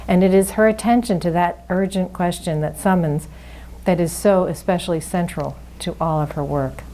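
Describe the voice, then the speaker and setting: A woman with a soft, low-pitched voice moderates a public event. She reads a formal introduction in measured, literary phrasing. A faint hiss of noise runs underneath. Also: low-quality recording.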